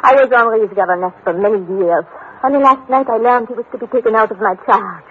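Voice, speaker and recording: An actress playing a polite, guarded housekeeper and former governess in an old radio drama. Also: female voice, on the high side, fast talker, lightly acted. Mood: polite, confiding. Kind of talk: 1950s radio drama acting. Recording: tinny, hissy old radio broadcast.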